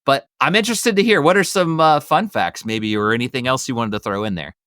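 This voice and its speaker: A man's voice: mid-range voice, a little fast, sharp tone, no echo, studio quality. A man co-hosting a casual film discussion, talking in an easygoing, hedging, meandering way. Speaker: podcast co-host leading the discussion.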